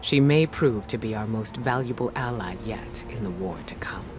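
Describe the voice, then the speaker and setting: An actor playing a weary, duty-bound middle-aged commander in a game cutscene, speaking gravely and formally. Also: male voice, lowish voice, moderate pace, close to an everyday voice. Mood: grave, resolute. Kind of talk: dramatic game cutscene monologue. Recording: cinematic music behind voice.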